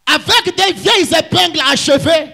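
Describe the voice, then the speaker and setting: A Congolese male pastor delivers a fervent sermon in a very high, animated voice. He declaims in short, emphatic phrases with a forceful, sharp edge, leaving gaps for an interpreter. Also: normal speed, vocal fry. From Kasai, DR Congo. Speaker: pastor preaching.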